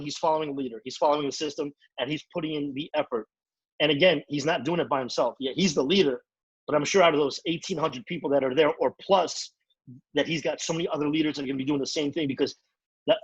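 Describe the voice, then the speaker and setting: A man giving an energetic, repetitive motivational training talk as a network marketing trainer. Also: on the high side, quick pace, breathy edge, no room sound, muffled recording. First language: English.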